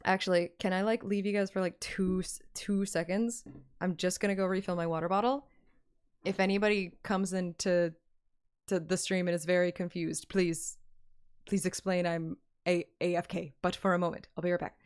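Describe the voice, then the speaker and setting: A woman's voice: lowish voice, flat delivery, moderate pace, darkish tone, smooth. A woman livestreamer chatting casually and playfully, often giggly and interrupting herself as she talks to viewers. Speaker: live streamer and fan reader.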